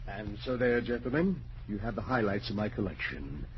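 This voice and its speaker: Sinister genteel collector, muffled old radio. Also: male voice, fairly low pitch, big pitch swings, moderate pace. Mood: relishing. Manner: suave, pompous, theatrical, with sly chuckles. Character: sinister, genteel old collector of murder relics, gloating and sly. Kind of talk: 1940s radio drama acting. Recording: noisy, muffled 1940s radio recording.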